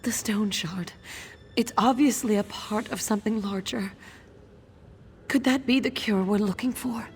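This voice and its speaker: A woman playing a frail, ailing noblewoman in a video game, halting and weary as she pleads and hides her suffering. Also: mid-range voice, a little mellow, a little hiss or hum, natural voice. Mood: urgent, weary. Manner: halting, weary, breaking into whispers. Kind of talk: dramatic game dialogue.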